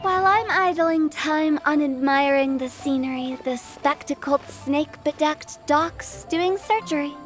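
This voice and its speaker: High-voiced, playful young funeral director. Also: female voice, measured pace, few pauses. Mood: teasing, playful. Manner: teasing, theatrical, wordy storytelling. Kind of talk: video game character dialogue. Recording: game music behind.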